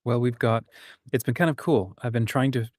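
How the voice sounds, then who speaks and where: A man's voice: medium pitch, moderate pace, darkish tone, no room sound, studio quality. A man hosting a writing livestream in a casual, informal, rambling and enthusiastic way. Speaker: host of a writing community livestream.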